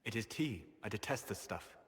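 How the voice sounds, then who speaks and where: An actor playing a grave, scholarly elven male mage with measured, formal phrasing in a video game drama. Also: on the low side, expressive, fairly bright tone, in a large hall.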